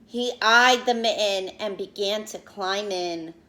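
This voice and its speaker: A woman reading a picture book aloud to young children in an expressive, teacherly way, pausing to explain and ask questions. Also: mid-range voice.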